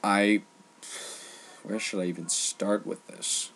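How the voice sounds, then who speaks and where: A man with a fairly low voice gives an informal rant. His delivery is emphatic but halting, and he repeats phrases to drive points home. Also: level tone, fairly bright tone. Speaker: online ranter.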